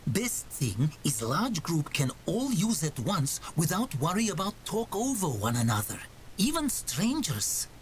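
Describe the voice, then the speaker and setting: A woman's voice: lowish voice, big pitch swings, unhurried, a little hiss or hum. A synthetic woman's voice playing an earnest female inventor who speaks in clipped, broken English. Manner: clipped broken grammar, thoughtful and eager. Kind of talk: AI roleplay voice with narration.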